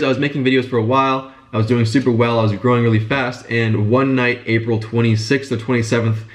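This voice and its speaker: A young man telling his story in a casual vlog while congested and sick with a stuffy nose. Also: fairly low pitch. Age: young adult. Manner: fast, chatty, informal. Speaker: business vlogger.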